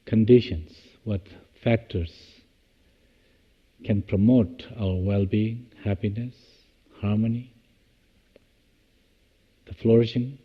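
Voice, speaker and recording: A man leading a guided meditation, speaking in measured, halting short phrases with long pauses. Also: on the low side, husky, big-room sound, muffled recording. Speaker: meditation teacher.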